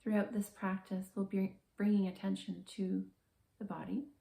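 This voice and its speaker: Creaky-voiced woman guiding a calm meditation. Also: mid-range voice, reverberant. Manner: calm, measured, gentle instruction with pauses. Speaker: meditation guide, therapist. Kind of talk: guided body scan meditation, slow and soothing.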